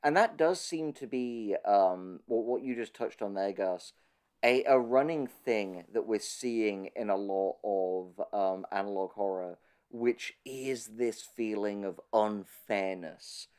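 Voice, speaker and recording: A man's voice: on the low side, slow, a little mellow, clear, resonant tone. A man in a conversational analytical discussion, speaking thoughtfully with hesitant, stammering starts as a podcast host.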